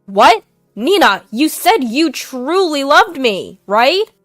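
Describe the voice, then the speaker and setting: A woman voicing a cheating young husband who pleads loudly and insists he is in love. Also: a little high, animated, slow pace, dry recording, studio quality, faint music behind, pitched above the performer's own voice. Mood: shocked. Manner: loud, insistent.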